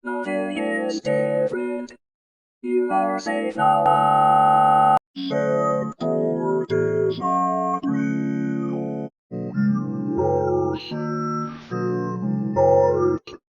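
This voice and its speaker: A woman's voice: very deep voice, drawn-out words, long pauses, soft background music, heavily acted. A woman voicing an eerie entity in a horror broadcast, soothing yet ominous. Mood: eerie calm.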